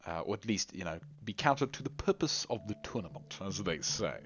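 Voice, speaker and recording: A man giving chatty live commentary on a game tournament, his voice going hoarse. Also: deep voice, lively intonation, fairly quick, a bit bright. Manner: chatty, rambling, lots of restarts and laughs. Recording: dull lo-fi recording with faint music.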